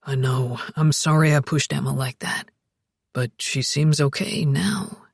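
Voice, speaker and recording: An earnest, tender young man speaking low and quietly, as a woman narrator puts him on. His voice is dropped well down and husky. The sound is close and dry. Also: steady tone, measured pace, dry recording, full character voice.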